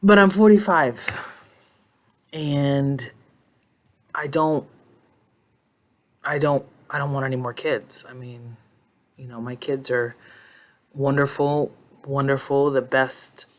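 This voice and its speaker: A woman's voice: extremely low pitch, steady tone, slow pace, pauses often. A woman in her forties talking casually and emotionally to her phone in a personal vlog, rambling and reflective. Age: 40s. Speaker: vlogger. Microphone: phone held in hand.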